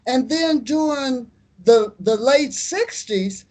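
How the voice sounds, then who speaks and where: Slow-speaking American woman in her seventies. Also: high-pitched, lively intonation, darkish tone. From United States. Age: 70s. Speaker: artist and community activist. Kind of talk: interview answers.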